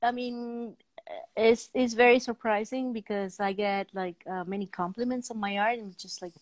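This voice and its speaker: A middle-aged woman hosting a casual interview, speaking in halting, fragmentary phrases. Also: fairly high pitch, steady tone, dry recording. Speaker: talk show host. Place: indoor room, video call.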